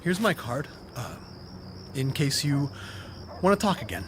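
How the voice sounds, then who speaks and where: A man's voice: mid-range voice, big pitch swings, a little slow, background noise. A man playing an earnest, self-doubting podcast host in a naturalistic audio drama, speaking in an understated, attentive way. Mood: shy, reserved.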